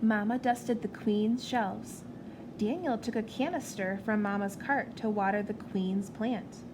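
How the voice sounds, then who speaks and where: A woman reading a picture book aloud to children in an expressive storytelling style. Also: mid-range voice, unhurried. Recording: clear background noise.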